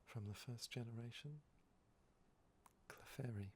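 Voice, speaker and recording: A man speaking softly and often in a whisper for an ASMR video, his voice a bit hoarse, rambling with many pauses and fillers. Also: mid-range voice, a little hiss or hum. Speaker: ASMR creator. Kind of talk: soft-spoken ASMR talk, whispering.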